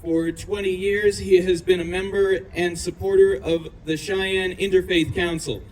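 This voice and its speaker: A man's voice: high voice, flat delivery, unhurried, darkish tone, low-quality recording. A man acting as master of ceremonies, reading a prepared introduction aloud at an outdoor event. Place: outdoors at a downtown festival. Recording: outdoor PA with faint traffic noise.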